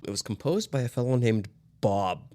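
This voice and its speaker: A man hosting a music show, chatting casually and explaining old recordings in a halting way. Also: mid-range voice, animated, mellow. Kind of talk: casual chat about vintage recordings.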